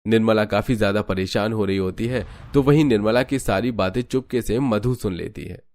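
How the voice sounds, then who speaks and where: A man narrating an animated moral story in a scripted storytelling style. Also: on the low side, moderate pace.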